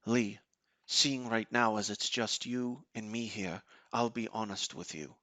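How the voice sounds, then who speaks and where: A man acts as a fatherly commander in a superhero audio drama. His voice is fairly low and closely recorded, with no room sound. He explains things in a measured, authoritative way. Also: pushed and bright, no echo. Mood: earnest, fatherly. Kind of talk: amateur audio drama acting.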